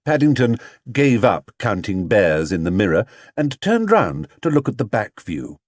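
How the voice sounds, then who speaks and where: A man narrating a children's storybook as an audiobook reader, with expressive, measured storytelling. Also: fairly low pitch, dry recording, clean studio sound.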